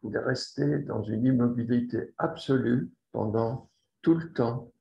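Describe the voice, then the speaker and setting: A man leading a guided yoga relaxation as the instructor, speaking deliberately and repeating phrases for emphasis. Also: mid-range voice, steady tone, creaky. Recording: roomy echo, slightly dull sound.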